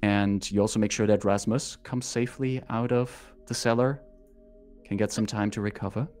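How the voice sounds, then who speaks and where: A man narrating a tabletop roleplaying game as game master, with deliberate, evocative storytelling. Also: lowish voice, fairly even intonation, a little mellow, faint music behind.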